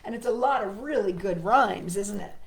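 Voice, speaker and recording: A woman reading to children at storytime, expressive and playful, talking directly to young listeners. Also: medium pitch, normal speed, gravelly, a little hiss or hum. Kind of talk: read-aloud storytime for children with chatty asides. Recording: echoey room.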